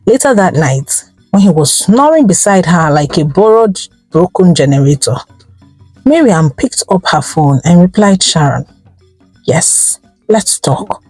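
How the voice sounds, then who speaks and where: A Nigerian woman narrating a folktale in a theatrical, expressive style, drawing out words and exclaiming as she goes. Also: fairly low pitch, normal speed, husky, faint music behind, low-quality recording. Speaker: storyteller. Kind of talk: dramatic folktale storytelling narration.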